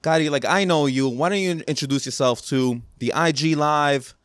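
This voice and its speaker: A man's voice: a little high, normal speed, fairly bright tone, smooth, dry recording, studio quality. Easygoing man hosting a podcast. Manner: loose, joking, repeats himself. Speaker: podcast host. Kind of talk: casual interview hosting.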